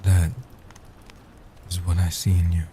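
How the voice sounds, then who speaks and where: A low, soft-spoken immortal vampire guardian, played by a man, in a comfort roleplay audio. He is tender and devoted, speaking slowly and deliberately in a very even, hushed tone. The voice is very dark and warm. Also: a little hiss or hum. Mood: tender, admiring. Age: young adult. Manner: tender, reassuring, slow and deliberate. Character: gentle, devoted centuries-old male vampire guardian.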